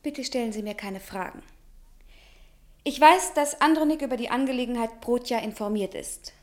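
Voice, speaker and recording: An actress as an earnest, high-voiced young woman. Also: normal speed, natural voice. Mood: uneasy. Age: young adult. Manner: formal, earnest. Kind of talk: German radio play acting.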